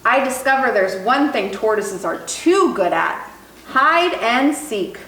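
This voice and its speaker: A woman reading a children's picture book aloud in an expressive, animated storytelling style. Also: a little high, slow pace. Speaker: storytime reader. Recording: roomy echo, distant mic, faint noise.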